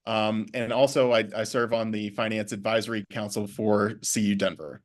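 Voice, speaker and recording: A man speaking as a guest in a class talk, pausing often with ums and restarting words. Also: on the low side, steady tone. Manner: hesitant, many ums and restarts. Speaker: guest speaker, bank vice president.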